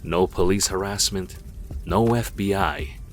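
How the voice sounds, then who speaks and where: A man with a very low voice narrates a comic book story. His delivery is dramatic and deliberate, with music underneath.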